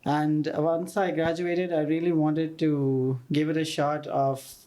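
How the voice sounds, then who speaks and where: A man's voice: fairly high pitch, level tone, slow pace, dark tone. A man from Pakistan, a guest architect, telling his story as a thoughtful, fluent storyteller. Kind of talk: casual interview talk.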